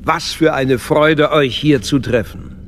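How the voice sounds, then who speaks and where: Older statesman, suave, scheming, measured, courtly, radio drama. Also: a little high, a little hiss or hum. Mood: courtly, calculating. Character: suave, fatherly, scheming older male galactic chancellor. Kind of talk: German radio drama acting.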